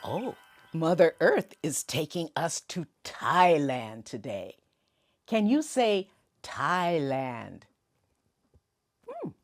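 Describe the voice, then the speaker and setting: A woman's voice: fairly low pitch, darkish tone. A woman hosting a preschool show, telling stories and leading call-and-response in a sing-song, encouraging way for young children. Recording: music under the voice. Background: music.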